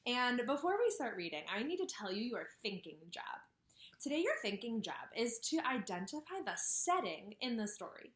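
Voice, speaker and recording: A woman's voice: fairly high pitch, normal speed. A woman teaching kindergarten from home, reading a story aloud and asking questions she then answers. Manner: animated, asks questions and answers them herself. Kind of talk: read-aloud lesson for young children.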